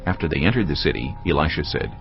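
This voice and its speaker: A man reading scripted Bible narration in a grave, measured storytelling manner. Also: extremely low pitch, expressive, creaky, dull audio, faint music behind.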